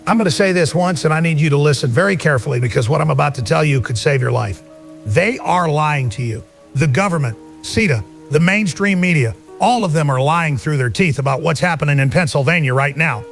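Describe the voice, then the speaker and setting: A man's voice: a little high, vocal fry. A man playing a fervent conspiracy-minded broadcaster in an audio drama, warning emphatically with repetition and rhetorical questions. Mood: grave urgency. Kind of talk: alarmist monologue in an audio drama.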